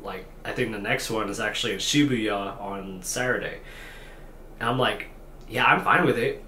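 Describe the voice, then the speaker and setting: A man's voice: fairly low pitch, normal speed, sharp tone, slight background noise. Tired young man rambling in a hotel room. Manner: rambling and self-deprecating, many fillers and restarts. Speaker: vlogger. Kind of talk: unscripted talking-head vlog. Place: small hotel room at night. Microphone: camera at desk distance. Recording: echoey room with faint ambience.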